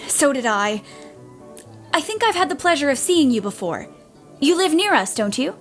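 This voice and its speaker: Woman playing a high-voiced, spirited teenage girl. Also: moderate pace, faint music behind. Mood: shyly friendly. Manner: eager, expressive. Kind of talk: audio play acting of a period novel.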